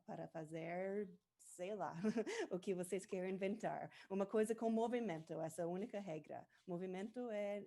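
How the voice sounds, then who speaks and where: A woman's voice: lowish voice, mellow. A woman from the United States with an American accent, a native English speaker leading a live online workshop. She switches between Portuguese and English and often hesitates while searching for words. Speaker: workshop presenter.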